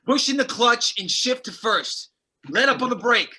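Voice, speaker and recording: A man's voice: extremely high pitch, animated, moderate pace, breathy edge, exaggerated voice. A man playing a tough, practical survivor who speaks bluntly in a horror drama table read. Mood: impatient. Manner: blunt, commanding, forceful. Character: tough, practical male survivor, gunman and pilot.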